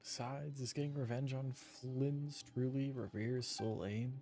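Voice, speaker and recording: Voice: medium pitch, steady tone, measured pace, warm tone, faint music behind. A streamer giving casual video game commentary and reading game text aloud.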